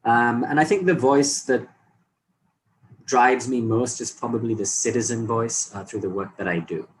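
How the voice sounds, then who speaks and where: A man's voice: mid-range voice, moderate pace, slight background noise, rough recording. A man introduces himself tentatively, second-guessing his answer. A faint room ambience sits behind his voice. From Sri Lanka. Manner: tentative, self-questioning. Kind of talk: casual introduction in an online meeting.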